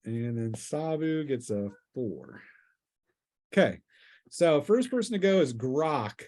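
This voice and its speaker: A man's voice: medium pitch, sing-song, takes it slowly, a little mellow. A man acting as game master, casually narrating a tabletop role-playing session and piecing scenes together aloud as he goes.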